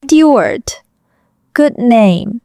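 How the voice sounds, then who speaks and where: An actress playing a frightened, fragile young foreign woman in an audio drama, speaking quietly in halting, simple broken English. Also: on the high side, lively intonation, no room sound, clean studio sound, heavily acted. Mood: shy, soft.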